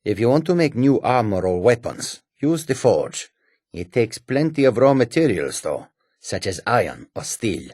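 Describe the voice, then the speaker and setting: An actor playing a shrewd, proud cat-folk warrior and trader in a fantasy video game, with a husky, rasping, theatrical delivery. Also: male voice, medium pitch, sing-song, normal speed, darkish tone, no room sound, studio quality. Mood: instructive, firm.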